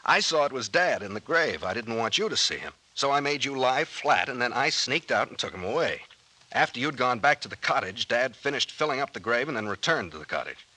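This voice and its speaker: A confident American sea captain turned sleuth in an old-time radio mystery. His voice is bright and highly animated as he lays out explanations with genial self-assurance. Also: a little fast, low-quality recording. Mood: explaining.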